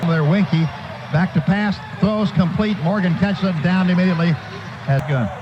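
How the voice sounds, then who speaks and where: High-pitched man calling live football on radio. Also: normal speed, dull audio. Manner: clipped running descriptions in short phrases. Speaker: radio play-by-play announcer. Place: stadium broadcast booth. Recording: noisy live stadium radio broadcast.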